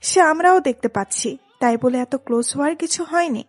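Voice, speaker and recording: Casting: woman to play protective big sister in a romance audio drama, high voice, stern and exasperated, close dry sound. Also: moderate pace, no echo, faint music behind, close to an everyday voice. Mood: stern scolding. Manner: stern, admonishing. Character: protective, exasperated older sister scolding her younger sister.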